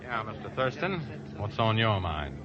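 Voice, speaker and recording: An actor playing a matter-of-fact charter pilot in vintage radio drama, clipped and businesslike. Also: male voice, lowish voice, big pitch swings, moderate pace. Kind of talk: 1940s radio acting. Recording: muffled old radio recording, clear noise.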